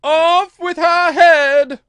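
Man doing a storybook queen, enraged, imperious, very high-pitched, loud, bullying. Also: steady tone, extremely slow, no room sound, exaggerated voice. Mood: furious. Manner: imperious, shouting commands. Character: furious, shrieking, bullying storybook queen, a playing card.

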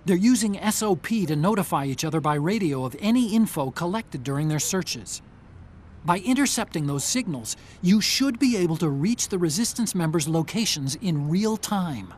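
An actor playing an earnest, brainy tech ally in a video game, giving clear, urgent mission briefings. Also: male voice, high-pitched, animated, moderate pace, slight background noise. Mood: explanatory.

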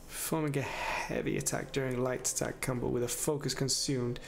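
A man's voice: mid-range voice, little pitch movement, normal speed, creaky, a little hiss or hum. A young man giving casual, slangy gameplay commentary, muttering reactions and exclaiming now and then. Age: young adult. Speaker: gaming video host. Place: small indoor room at home.

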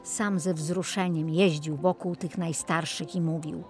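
A woman narrating a children's audiobook in an expressive storytelling style. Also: sits low, measured pace, soft background music.

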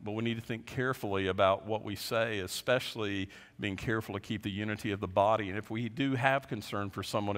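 A man in his fifties, a pastor preaching a sermon from a lectern in a large church, earnest, often dropping his voice low at the end of a point. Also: a bit bright. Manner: earnest, gestures widely, voice drops low at ends of points. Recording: long reverb of a large sanctuary.

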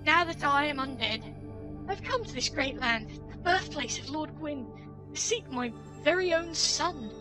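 A man in falsetto as a cheery female sun knight. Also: lively intonation, a little slow, music in the background. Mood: cheery, grand. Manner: grand, sing-song falsetto, sunny and confident. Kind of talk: reading a game's dialogue aloud. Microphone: headset.

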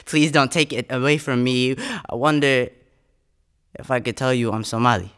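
A man's voice: mid-range voice, steady tone, moderate pace, fairly bright tone, smooth. A young man from the United States, a spoken word poet, recorded close on a studio microphone. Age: young adult. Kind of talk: spoken word poetry and interview answers. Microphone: close studio condenser microphone.

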